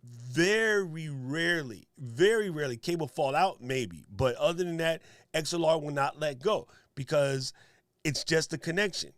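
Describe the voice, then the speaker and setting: Deep radio-voiced male co-host, punchy and emphatic, dry close mic. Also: expressive, normal speed, no room sound. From Hawaii resident. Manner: emphatic, punchy, laughs at his own points. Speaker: streaming show co-host. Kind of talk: casual tech discussion.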